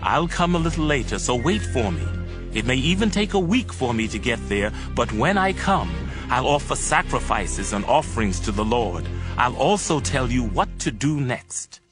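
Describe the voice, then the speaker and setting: An actor playing a commanding, earnest Hebrew prophet, instructing in a solemn, declamatory manner for a dramatized audio Bible. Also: male voice, medium pitch, lively intonation, normal speed, natural voice. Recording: music behind the voice.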